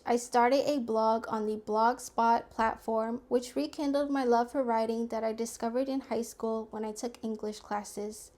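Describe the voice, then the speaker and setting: A young adult woman from the United States, born in Guyana, giving a prepared personal speech in a measured, deliberate storytelling manner. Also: on the high side, darkish tone. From United States (Georgia), born in Guyana. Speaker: public speaker.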